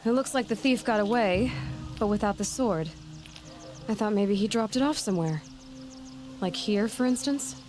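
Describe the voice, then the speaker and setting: A woman's voice: medium pitch, darkish tone, lightly acted. Focused young huntress, acted by a woman, music behind. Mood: accusing. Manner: measured, thinking aloud to herself. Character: determined young female huntress tracking a thief. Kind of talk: video game voice acting.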